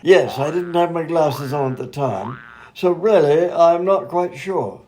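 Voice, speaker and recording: An actor playing a vague, amiable, absent-minded doctor in a comedy radio play, dithering and trailing off. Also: male voice, a little high, a little slow, a little mellow, a little hiss or hum, close to an everyday voice. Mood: muddled, hesitant.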